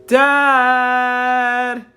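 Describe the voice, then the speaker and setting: Grown guy doing a little kid from a storybook, high voice, eager and yelling. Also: drawn-out words, smooth, soft background music, heavily acted. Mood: excited. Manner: excitable, hollering.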